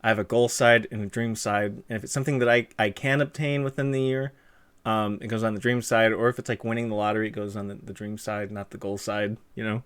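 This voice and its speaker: A man's voice: lowish voice, steady tone, fast talker, fairly bright tone, smooth. A young man hosting a live stream, chatting casually with a guest and reading out viewer comments in a rambling, easygoing way. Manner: chatty, rambling, many fillers and restarts. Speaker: streamer host interviewing a guest.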